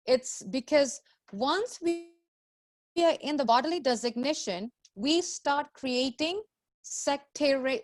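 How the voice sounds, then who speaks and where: A woman teaching a scripture class to a group, explaining in a didactic way with repeated phrases and rhetorical questions. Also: high voice.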